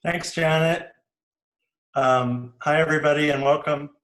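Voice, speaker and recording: A man who teaches acting, introducing himself on a video call in a relaxed, lightly halting way. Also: a little high, poor audio. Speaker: acting professor.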